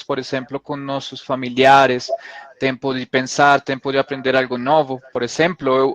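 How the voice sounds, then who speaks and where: A man whose first language is Spanish, speaking with a Spanish accent and searching for words as he gives closing remarks on a video call panel. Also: mid-range voice.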